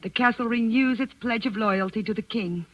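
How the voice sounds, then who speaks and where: A cool, aristocratic countess, acted by a woman in a vintage radio play. She speaks with measured courtesy and dry, knowing irony, heard on a slightly dull old broadcast recording. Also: fairly high pitch, lightly acted. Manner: measured, courteous, dryly ironic. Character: poised, cool, shrewd Danish countess. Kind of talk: 1940s radio drama acting.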